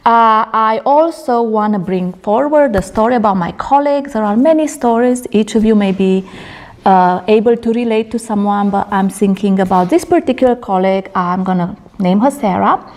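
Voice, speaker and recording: A middle-aged woman from Romania speaks at a conference about her research. Her delivery is earnest, and she often stops on fillers or starts a sentence over. Her pitch sits in the middle of the usual range for a woman. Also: a little mellow. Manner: earnest, with many fillers and restarts. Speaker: conference presenter, doctoral candidate. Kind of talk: academic conference talk. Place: conference room.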